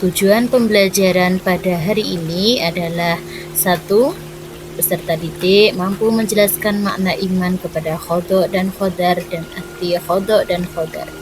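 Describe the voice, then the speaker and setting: A woman teaching a recorded lesson for schoolchildren, explaining step by step in a clear, measured way. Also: fairly low pitch, fairly even intonation, noisy background. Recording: loud background music.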